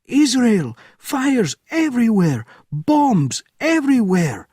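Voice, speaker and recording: A man voicing a panicked male border-gate officer who screams high and frantic. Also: a little slow, breathy edge, no echo, clean studio sound. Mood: frantic, screaming.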